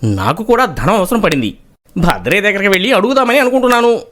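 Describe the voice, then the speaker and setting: Man playing animated, highish-voiced villager. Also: quick pace. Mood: surprised, troubled. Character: village men in a moral tale, earnest and aggrieved. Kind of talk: animated storybook dialogue.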